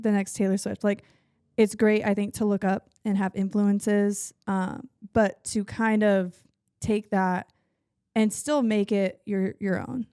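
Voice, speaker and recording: A woman's voice: mid-range voice, warm tone, clean tone, no echo, studio quality. A woman with a Southern accent, a singer-songwriter guest chatting casually, with lots of "like" and "you know". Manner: chatty, lots of likes and backchannel. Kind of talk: casual chat.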